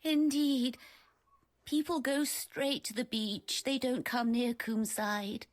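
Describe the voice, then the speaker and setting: Frail, high-voiced, slow-talking lady. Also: steady tone. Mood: querulous, disdainful. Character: ailing, frail, querulous upper-class English lady, a widow. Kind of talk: video game voice acting.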